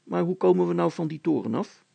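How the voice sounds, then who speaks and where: A man's voice: high voice, expressive, brisk, creaky, warm tone, no echo, heavily acted. A man voicing an earnest, plain-spoken boy in a story, simple, hesitant and doubtful. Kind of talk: dramatised story reading.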